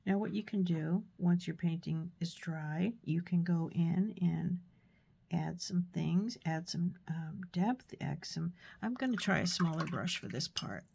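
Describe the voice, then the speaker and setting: A woman with a stuffy nose from a cold, giving a relaxed, chatty painting tutorial with fillers and soft asides. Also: lowish voice, a little slow, creaky, husky. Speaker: art tutor.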